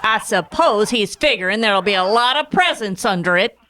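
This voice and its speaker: A woman playing a crusty but soft-hearted old maid aunt in a western radio play, with folksy, exclamatory speech. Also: mid-range voice, lively intonation, moderate pace, slight background noise. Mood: scolding, grumpy.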